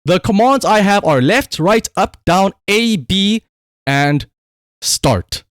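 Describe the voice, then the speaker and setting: A man doing casual livestream gaming commentary in an excitable, emphatic way, prone to shouting and swearing. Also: high voice, takes it slowly, dry recording, studio quality. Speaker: live streamer.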